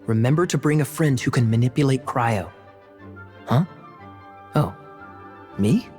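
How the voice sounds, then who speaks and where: A man's voice: mid-range voice, expressive, unhurried, a little mellow, faint music behind, natural voice. A man playing a sly, teasing young knight. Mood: wry. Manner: smooth, wry and joking, with light laughs. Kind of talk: video game dialogue.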